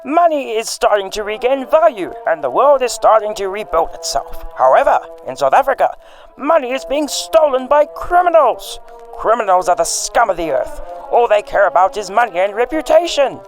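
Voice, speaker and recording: A man's voice: high voice, sing-song, normal speed, faint music behind. A man playing the dramatic narrator of a detective radio serial, reading a script.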